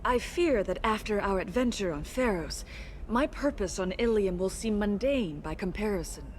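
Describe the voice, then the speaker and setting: An actress playing an earnest, polite alien woman in a video game, speaking in a measured, diplomatic manner. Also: mid-range voice, lively intonation, slight background noise, natural voice. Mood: earnest, concerned. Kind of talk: video game dialogue acting.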